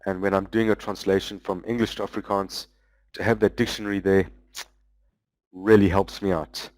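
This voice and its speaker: Male presenter, low register, dry close mic, loose explanatory delivery over slides. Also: dry recording, rough recording. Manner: explanatory, walking through product features; loose, with frequent "um" pauses. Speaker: business development manager presenting a webinar.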